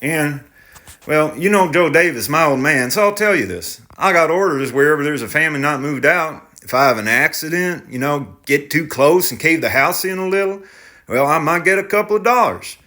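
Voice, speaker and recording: A man's voice: a little high, expressive, moderate pace, bright, forceful tone, voice pushed up. A man voicing a blunt young tractor driver. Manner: terse, clipped working-man talk.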